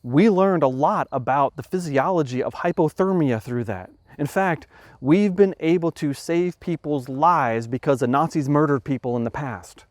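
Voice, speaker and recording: Measured man reasoning through ethics. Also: a little high, dry recording, clean studio sound. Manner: thoughtful, measured, frequent restarts while reasoning aloud. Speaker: podcast host. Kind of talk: explanatory talk on ethics.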